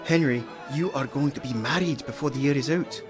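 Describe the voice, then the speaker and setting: An actor playing a loyal, cautious Victorian gentleman and adviser, speaking in a measured, formal way. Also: medium pitch, close to an everyday voice. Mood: concerned, firm. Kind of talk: theatrical period audio drama acting. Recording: music playing under the dialogue.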